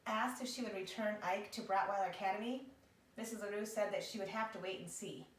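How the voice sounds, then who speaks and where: A woman's voice: medium pitch, steady tone, a little fast. A woman reading a picture book aloud expressively as a storybook reader. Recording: echoey room, distant microphone.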